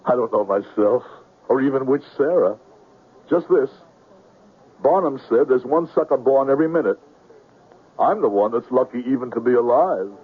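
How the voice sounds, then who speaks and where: An actor playing a wordy, rueful swindler in a 1970s radio drama, florid and self-pitying. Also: male voice, medium pitch, expressive, normal speed, long pauses, slightly rough. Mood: reflective, relieved. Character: wordy, rueful male con man under arrest. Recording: muffled, phone-like old radio recording.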